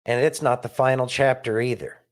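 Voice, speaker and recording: A man's voice: mid-range voice, fairly even intonation, a little fast. A man with an American accent giving emphatic conversational commentary as a podcast co-host, in short declarative phrases.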